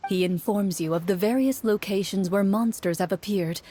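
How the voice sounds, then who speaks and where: Woman narrating a game story, mid-pitched. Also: normal speed, warm tone, slight background noise. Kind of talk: second-person scripted storytelling narration.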